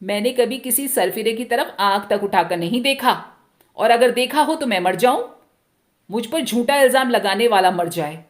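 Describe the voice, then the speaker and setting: A proud young village wife from a storybook, played by a woman reader. She answers back sharply and haughtily in a fairly high voice, vain and unbowed. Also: lively intonation, normal speed, close to an everyday voice. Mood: indignant, defiant. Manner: sharp retorts, haughty indifference. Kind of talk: dramatic Hindi short story reading.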